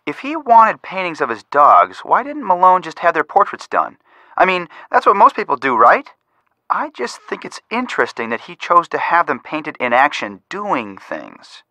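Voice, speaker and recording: A man's voice: on the high side, sing-song, moderate pace, pushed and bright, dry recording, exaggerated voice. A man playing an earnest young amateur sleuth in a game, analytical and inquisitive as he reasons aloud. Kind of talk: scripted game voice acting.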